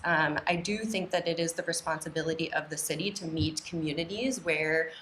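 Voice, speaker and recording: A woman with a slightly low voice answers as a candidate at a public forum. She speaks haltingly, repeating small words as she builds each point, over faint background noise. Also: fairly bright tone, rough recording. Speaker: city council candidate. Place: indoor TV studio.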